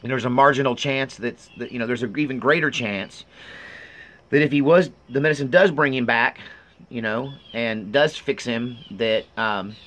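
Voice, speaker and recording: A middle-aged man giving an unscripted personal update in a halting, earnest, confiding way. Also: medium pitch, a bit bright. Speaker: vlogger.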